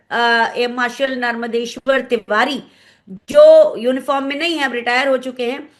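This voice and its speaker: A woman with an Indian accent giving analytical commentary in an interview, explaining things and pausing to search for words. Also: high voice. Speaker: guest commentator, former pilot.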